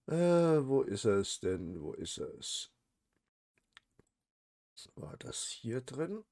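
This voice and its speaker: A man's voice: medium pitch, big pitch swings, drawn-out words, vocal fry, darkish tone. Man with a cold, chatty gamer. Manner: chatty, many "äh" fillers and restarts. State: has a cold. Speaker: let's play host. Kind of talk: casual game commentary.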